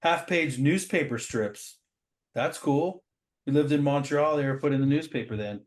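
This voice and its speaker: A man's voice: a little high, moderate pace. A man hosting a show, chatting casually and admiringly as he comments on comic art. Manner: admiring, informal, says "man" often. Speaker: show host.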